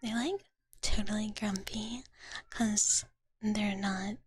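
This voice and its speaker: A woman whispering softly and breathily in a gentle, doting big-sister manner, chatting as an ASMR livestreamer close to the microphone and often repeating her words. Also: mid-range voice, fairly even intonation, slow pace, breathy edge, no echo. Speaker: ASMR streamer. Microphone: close microphone, dry sound.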